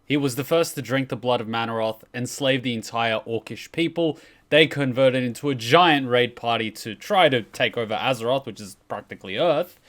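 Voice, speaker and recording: A man's voice: medium pitch, normal speed, fairly bright tone. A man commentating casually, explaining a story in an informal recap.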